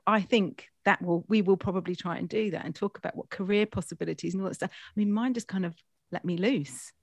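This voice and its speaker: A woman's voice: medium pitch, fast talker, gravelly. Middle aged woman interviewer, casual chat, feels her way through sentences, no room echo at all. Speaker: interview host.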